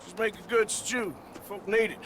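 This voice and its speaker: An actor playing a gruff, sardonic middle-aged outlaw gunslinger in a game cutscene, dry and terse. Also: male voice, high voice, moderate pace, lightly acted. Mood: weary. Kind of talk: dramatic video game cutscene acting. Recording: clear background ambience noise.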